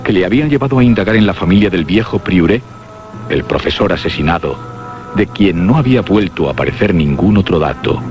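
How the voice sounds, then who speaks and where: A man's voice: sits low, animated, a little fast, darkish tone. A man narrating a scripted horror radio drama. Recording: dull lo-fi audio under music. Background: prominent music.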